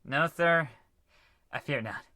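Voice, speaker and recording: A man's voice: a little high, slow, sharp tone, no echo. A man playing an earnest, dutiful space crewman, formal and dramatic, in an old-time radio comedy. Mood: grave.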